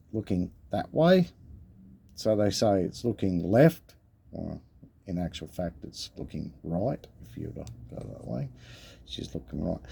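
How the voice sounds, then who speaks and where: A man with an Australian accent and a deep voice talks slowly, his tone dark and gravelly. He chats laid-back and casual to the viewers, swearing freely and calling them mate. He is filmed indoors at a table, with the camera looking down at his hands. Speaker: coin collecting vlogger. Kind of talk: casual show-and-tell chat.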